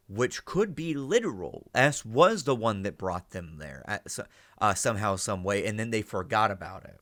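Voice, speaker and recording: A man's voice: medium pitch, fairly bright tone, no room sound, clean studio sound. A man giving casual reaction commentary, thinking aloud and often checking in with rhetorical questions. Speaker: reaction and analysis host.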